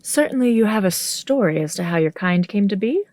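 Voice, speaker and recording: A woman's voice: medium pitch, animated, brisk, a little mellow, no echo, lightly acted. A woman playing an earnest, unpretentious queen in a fantasy audio drama, plain-spoken and firm. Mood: earnest, curious. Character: earnest, unpretentious warrior queen, impatient with ceremony.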